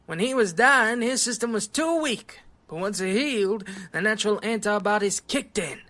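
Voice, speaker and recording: A woman playing an excitable, absorbed scientist doctor in an audio drama, precise and given to muttering. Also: medium pitch, normal speed, natural voice. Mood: fascinated.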